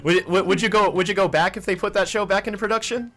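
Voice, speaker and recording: A man's voice: high-pitched, steady tone, fast talker, fairly bright tone, soft background music. A man in his twenties hosting a casual fan interview, informal and a little hesitant, repeating words as he phrases thoughts. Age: 20s. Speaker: interviewer, fan show host. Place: home office.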